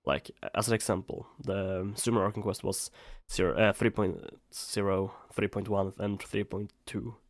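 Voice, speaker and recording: A man's voice: lowish voice, fairly even intonation, moderate pace, few pauses, no room sound, clean studio sound. A man with a Swedish accent chatting casually on a gaming livestream, hesitant and rambling as he thinks aloud. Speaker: live streamer.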